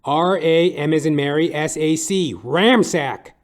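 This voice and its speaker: A man playing a sardonic, world-weary private detective, narrating deadpan in hard-boiled style for an audio dramedy. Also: fairly high pitch, measured pace, natural voice. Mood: sarcastic, casual. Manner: wry, deadpan wisecracking. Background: music behind the voice.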